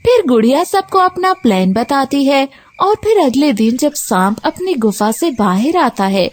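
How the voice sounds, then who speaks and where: A woman narrating a scripted children's cartoon story. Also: high-pitched.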